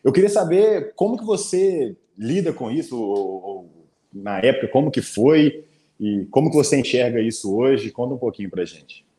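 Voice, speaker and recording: A man's voice: medium pitch, big pitch swings, normal speed, mellow. A Brazilian man interviewing a guest in a friendly, informal way. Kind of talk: casual interview questions. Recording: echoey room.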